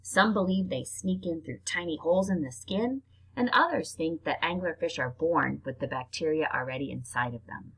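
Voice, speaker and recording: A woman reads nonfiction aloud in an expressive, informal way, like reading a book to children. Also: deep voice, moderate pace, slightly rough, poor audio. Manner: expressive, informal reactions between passages. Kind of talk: read-aloud narration with casual spoken asides.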